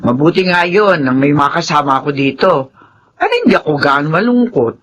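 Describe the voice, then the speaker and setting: A woman's voice: low-pitched, animated, fairly quick, dull audio. A woman playing a kindly, lonely middle-aged aunt in a Filipino radio drama, welcoming in manner, with a Filipino accent. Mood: welcoming, wistful.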